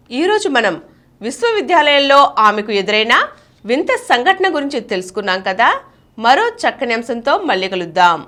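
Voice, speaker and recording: A woman's voice: a little high, a bit bright. A woman reading a scripted narration in a storytelling style.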